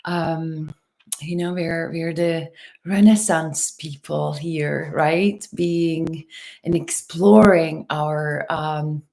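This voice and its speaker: A woman from Turkey and Los Angeles hosting a casual livestream, talking to viewers in a gentle, unhurried, spiritual wellness manner. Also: low voice, steady tone, creaky. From Turkey and Los Angeles, USA. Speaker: host, hypnotherapist and mentor.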